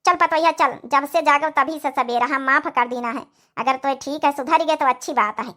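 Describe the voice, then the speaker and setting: A woman plays an elderly village mother-in-law in a cartoon. She is forgiving, with a high, bright voice and an Awadhi accent. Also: normal speed.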